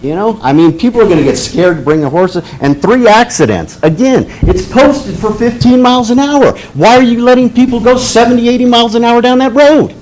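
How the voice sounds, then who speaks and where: A man's voice: very high voice, lively intonation, a little fast, few pauses, a little mellow, rough recording. A man speaking at a town council meeting as a resident, frustrated and increasingly insistent. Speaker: resident speaking at public comment.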